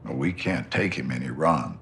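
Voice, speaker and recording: A gruff, blunt army general. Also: male voice, a bass voice, lively intonation, normal speed, fairly bright tone, a little hiss or hum, full character voice. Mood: stern. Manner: blunt, profane, clipped orders.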